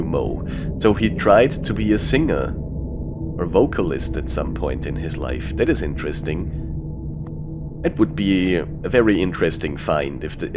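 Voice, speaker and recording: Very deep-voiced man playing through a game. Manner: muttering asides to himself. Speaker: game streamer. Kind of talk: casual gameplay commentary and reading aloud. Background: game music.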